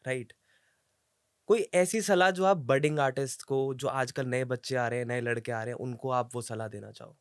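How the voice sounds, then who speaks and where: A man's voice: mid-range voice, steady tone, normal speed, smooth, dry recording, clean studio sound. Friendly male podcast host. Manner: friendly, mixes English into questions. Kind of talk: interview questions.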